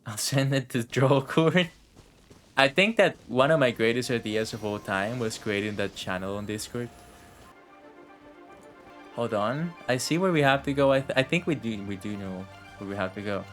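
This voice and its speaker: A man's voice: mid-range voice, moderate pace, a bit bright. Chatty man streaming a game. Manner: chatty, rambling to himself, swearing. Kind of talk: casual live game commentary. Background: faint game music.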